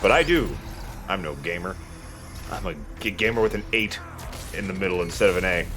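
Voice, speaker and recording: A man's voice: low voice, brisk. A man giving casual, joking gaming commentary and banter as he plays, with some swearing. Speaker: let's play host playing the game. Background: game music.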